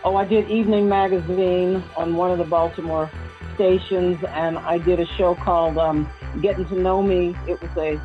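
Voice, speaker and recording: A woman's voice: lowish voice, steady tone, moderate pace, lo-fi sound, faint music behind. A woman in a casual interview, reminiscing about her television work and chuckling at times. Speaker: interviewed former TV co-host and actor.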